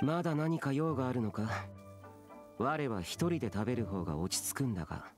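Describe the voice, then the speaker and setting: A man's voice: medium pitch, expressive, brisk, soft background music, close to an everyday voice. A man playing a stern, aloof young immortal guardian in a game, curt and solemn with archaic wording. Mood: aloof, wistful. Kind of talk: Japanese game dub acting.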